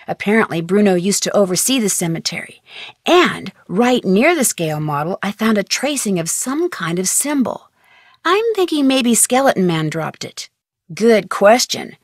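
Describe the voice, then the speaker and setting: A woman's voice: medium pitch, no room sound, clean studio sound, natural voice. A woman voicing a curious young amateur detective in a game, with an inquisitive, breezy, slightly cheeky way of asking questions. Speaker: game protagonist. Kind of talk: game voice lines.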